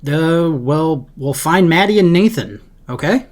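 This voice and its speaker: A man's voice: fairly high pitch, expressive, measured pace. A man acting a loyal, awkwardly supportive hero friend in an audio drama, casual and hesitant. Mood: concerned, supportive.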